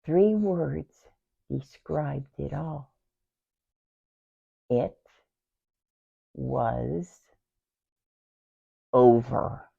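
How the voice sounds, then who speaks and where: A woman playing a devoted, grieving youngest sister in a theatrical, deliberate Bible monologue that moves between hushed tones and outcries. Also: fairly low pitch, expressive. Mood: grieving, subdued. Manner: theatrical, deliberate, swinging from hushed near-whispers to loud outcries. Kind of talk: dramatic first-person Bible monologue. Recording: dull, lo-fi sound.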